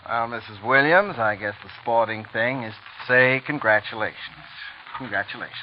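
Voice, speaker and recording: An actor playing a needling, slangy prosecutor and blackmailer in a theatrical 1940s radio drama style. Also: male voice, mid-range voice, moderate pace, faint music behind, lightly acted. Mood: sly, grudging. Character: hard-boiled, needling male prosecutor and blackmailer. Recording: dull, noisy old radio recording.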